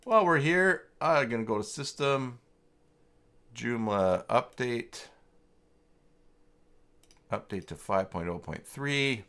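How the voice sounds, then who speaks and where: A man hosting a casual, unscripted livestream tutorial, talking haltingly as he thinks aloud through each step. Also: mid-range voice, expressive, a bit bright. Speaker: livestream host and web tutorial presenter.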